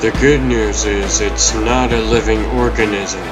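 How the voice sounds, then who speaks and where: Wry young hero, male actor for comic dub. Measured pace, dry confidence, music clearly underneath. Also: lowish voice, fairly even intonation, lightly acted. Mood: wry, musing. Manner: dry, self-deprecating internal monologue. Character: wry, confident young male superhero narrating his own battle.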